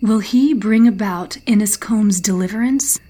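A woman's voice: mid-range voice, a little slow, warm tone. A woman giving scripted narration for a fiction podcast trailer.

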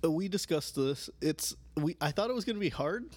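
A man's voice: a little high, animated, fairly quick, darkish tone, no room sound. A man co-hosting a podcast in casual chat, joking around and agreeing readily with his partner. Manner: joking, agreeable, many yeahs. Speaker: podcast co-host.

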